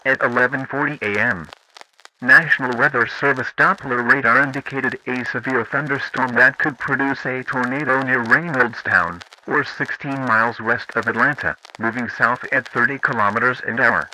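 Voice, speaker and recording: A synthetic male voice with a piercingly bright, forceful edge delivers scripted emergency warnings. It sounds like an automated alert announcer at a middling pitch. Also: moderate pace, slight background noise, low-quality recording.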